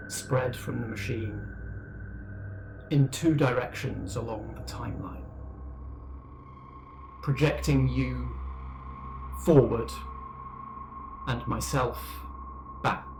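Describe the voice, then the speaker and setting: Man voicing a measured, sorrowful female fire demon. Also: mid-range voice, creaky, background noise. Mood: grave, recounting. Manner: measured, formal, deliberate storytelling. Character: Ada, intelligent, sorrowful female fire demon, once human scientist.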